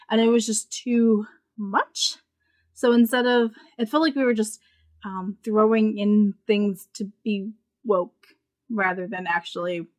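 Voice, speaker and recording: A woman's voice: medium pitch, vocal fry. A woman reviewing books in a casual, informal way, thinking aloud in loose, rambling sentences. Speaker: book reviewer. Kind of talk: casual spoken book review.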